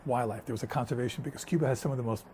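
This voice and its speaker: Fast-talking man telling stories. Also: medium pitch, slight background noise. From United States. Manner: storytelling, animated and talkative. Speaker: guest. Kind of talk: casual conversation.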